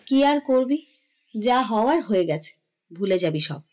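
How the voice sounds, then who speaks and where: A woman's voice: a little high, animated, unhurried, mellow, soft background music. A woman playing an affectionate, teasing middle-aged mother in an audio story, fondly scolding in a theatrical manner. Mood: mock stern. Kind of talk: Bengali audio story acting.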